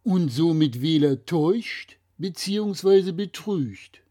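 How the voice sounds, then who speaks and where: A man's voice: fairly high pitch, lively intonation, takes it slowly, dark tone. A man presenting a scripted political explainer in a didactic, critical way.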